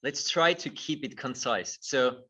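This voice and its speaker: A man's voice: mid-range voice, animated, unhurried, pushed and bright, slightly rough, far from the mic. A middle-aged man from Austria, a guest in a conversational interview who speaks thoughtfully and repeats words as he starts his phrases. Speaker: guest, movement teacher.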